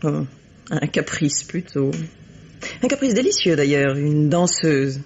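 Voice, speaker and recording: Muffled old radio play, cynical posh woman, husky voice, cool and disdainful, very articulate. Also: deep voice, big pitch swings, darkish tone, exaggerated voice. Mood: ironic. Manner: articulate, measured, coolly disdainful. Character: cynical, haughty bourgeois woman, sister of murder victim. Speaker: radio drama actress. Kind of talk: French radio mystery acting. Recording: dull vintage radio recording.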